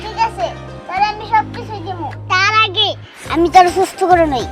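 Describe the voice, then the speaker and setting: A kindly ghost with a very high, squeaky voice. It speaks gently in a homemade drama. Music plays loudly in the background. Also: moderate pace. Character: kindly ghost who befriends a boy.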